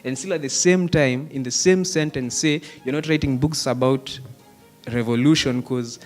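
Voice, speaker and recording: A young man from Kenya speaks up from the audience in a theatre hall, arguing his point with conviction. His voice sits at an ordinary middle pitch, and he drops Swahili phrases into his English. Faint music plays underneath. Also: normal speed, darkish tone. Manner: argumentative, mixes in Swahili phrases. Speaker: audience member asking a question. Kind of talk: impassioned audience comment at a panel. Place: theatre hall at a panel discussion.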